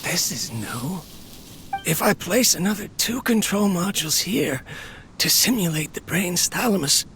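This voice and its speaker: A lonely inventor in a video game, talking wistfully into his research log. The voice sits a little high for a man and sounds worn and halting. A faint noisy rumble runs underneath. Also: a bit bright, full character voice. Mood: weakening, alarmed. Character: lonely, weary, ailing male inventor recording research logs. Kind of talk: dramatic video game voice acting.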